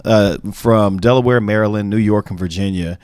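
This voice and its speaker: A man's voice: fairly low pitch, normal speed, a little mellow, smooth, no echo, studio quality. A man hosting a legal discussion podcast, talking conversationally with frequent fillers and restarts. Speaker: podcast host.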